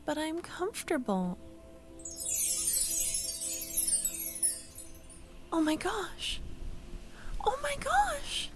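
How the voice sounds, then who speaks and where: A woman playing a tender, wistful young childhood friend in a soft-spoken, breathy ASMR audio roleplay, often close to a whisper. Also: very high-pitched, exaggerated voice. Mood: awed, excited. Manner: slow, tender, hesitant.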